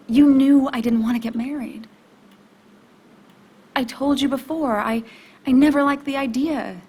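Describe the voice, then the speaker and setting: An actress playing an emotionally raw but determined young woman in a video game, speaking in a halting, confessional way. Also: sits high, warm tone, slightly rough. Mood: defensive, upset. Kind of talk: naturalistic video game acting.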